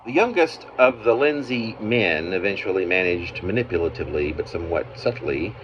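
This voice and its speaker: Low-voiced man reading his own poem. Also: expressive. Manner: halting phrasing with pauses mid-sentence. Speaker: poet, author reading own work. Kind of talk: literary reading of a narrative poem. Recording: muffled, noisy amateur home recording.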